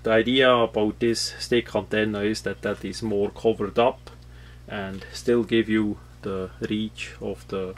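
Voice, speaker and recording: A non-native English-speaking man from Switzerland with a Swiss accent, explaining a product off the cuff in a halting, matter-of-fact, rambling way. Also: on the low side. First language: not English. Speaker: product reviewer. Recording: clear background noise with hum.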